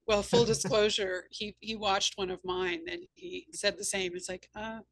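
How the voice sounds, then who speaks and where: Creaky-voiced woman hosting a conversation. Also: mid-range voice, flat delivery, normal speed, fairly bright tone, low-quality recording. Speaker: host and author. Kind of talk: conversational interview.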